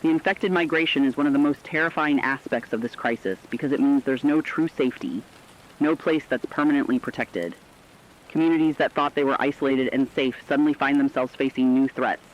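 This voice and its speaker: A man's voice: mid-range voice, brisk, lightly acted. A man playing a solemn, earnest radio commentator, giving rhetorical scripted commentary during an emergency broadcast. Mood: somber, concerned. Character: solemn, humane male radio commentator in an apocalypse. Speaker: radio co-host. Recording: dull, lo-fi radio sound.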